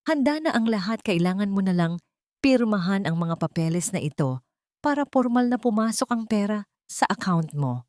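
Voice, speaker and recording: Babaeng gumaganap na kalmadong asawang may lihim na plano. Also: female voice, mid-range voice, dry recording, clean studio sound, natural voice. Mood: calm, persuasive. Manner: calm, measured. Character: calm, composed wronged wife secretly plotting.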